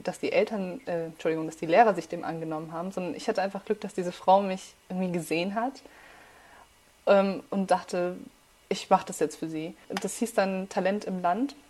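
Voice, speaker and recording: A young woman in her twenties whose first language is Farsi, telling school stories as a podcast guest in a lively, casual way with many fillers. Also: mid-range voice. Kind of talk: casual storytelling.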